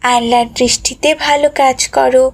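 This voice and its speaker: A woman voicing an earnest, repentant young schoolgirl in an animated moral story. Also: high-pitched, moderate pace. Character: Mili, repentant young schoolgirl who made viral videos.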